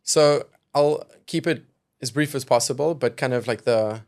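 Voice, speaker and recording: A man's voice: mid-range voice, normal speed, darkish tone. A young man from South Africa, a guest on a podcast, speaking thoughtfully and hedging often with "kind of" and "you know". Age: young adult. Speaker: venture capital investor, podcast guest. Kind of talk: casual podcast conversation.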